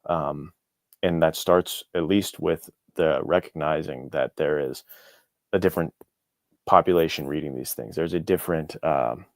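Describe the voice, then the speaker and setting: A man's voice: rumbling low, unhurried, no room sound, clean studio sound. A young American man talking as a podcast guest in a reflective, informal, loosely phrased way. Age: young adult. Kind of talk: casual interview chat.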